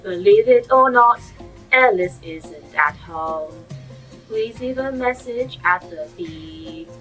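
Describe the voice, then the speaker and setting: A woman's voice: fairly high pitch, expressive, slow, music in the background, rough recording. A woman voicing a proud, dry-witted, condescending artificial intelligence in a sci-fi audio drama. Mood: mocking. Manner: precise, sardonic, condescending. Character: proud, dry-witted A.I. running a secret facility.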